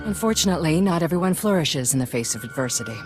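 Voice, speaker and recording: A resolute Republic official in a video game. Her voice is low and carries authority. Loud music runs beneath it. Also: animated, quick pace. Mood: determined. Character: resolute, authoritative female Republic project leader. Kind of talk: scripted game cutscene dialogue. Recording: loud music under the dialogue.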